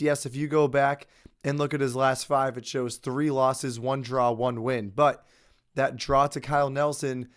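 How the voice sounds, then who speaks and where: A young adult man hosting casual sports betting commentary, talking confidently through his picks while he has a cold and is losing his voice. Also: mid-range voice. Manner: conversational, confident opinions with fillers. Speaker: host.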